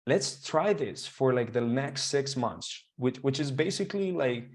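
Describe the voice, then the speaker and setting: A young man whose first language is Spanish speaks with a Colombian accent in a relaxed interview. His voice sits at an ordinary middle pitch. He rambles along eagerly, stacking fillers and false starts. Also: unhurried. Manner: animated, rambling with many fillers and restarts. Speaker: guest. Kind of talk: casual storytelling interview.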